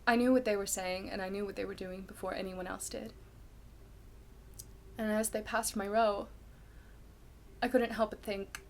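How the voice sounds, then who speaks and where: A woman performing a candid, self-deprecating young woman in a dramatic stage monologue, with halting, confessional delivery and dramatic pauses. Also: medium pitch, creaky, slight background noise. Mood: subdued, haunted.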